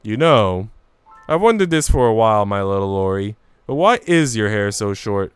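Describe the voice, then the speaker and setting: A man's voice: lowish voice, sing-song, normal speed, smooth, dry recording. A man reading aloud a teasing, affectionate, proper lady from a visual novel, playful and genteel, over music. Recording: music playing behind the voice.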